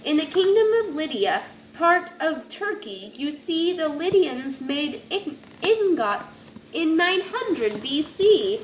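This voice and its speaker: A woman reading a rhyming children's picture book aloud in an expressive, sing-song way, occasionally stumbling over a word. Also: high-pitched, slow pace, few pauses. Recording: roomy echo with faint background noise.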